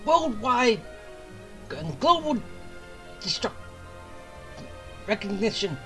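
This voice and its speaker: A game streamer giving casual, jokey, halting commentary while playing along. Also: medium pitch, big pitch swings, sharp tone, soft background music.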